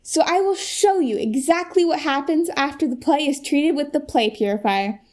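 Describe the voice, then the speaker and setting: An earnest corporate presenter, played by a young American woman with a high voice. She sells emphatically and smoothly, as in a mock keynote for a radio comedy. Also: normal speed, darkish tone, close to an everyday voice. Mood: persuasive. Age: young adult. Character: earnest, polished corporate saleswoman Shelley pitching a product.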